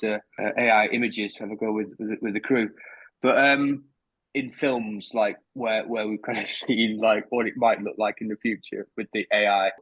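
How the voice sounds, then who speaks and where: A man hosts a podcast chat, his questions coming out in halting bits, restarts and little laughs. His voice sits low, and the sound is dry and dull, as if through a lo-fi line. The delivery stays loose and informal. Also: a bit bright, no echo. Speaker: podcast host. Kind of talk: casual chat.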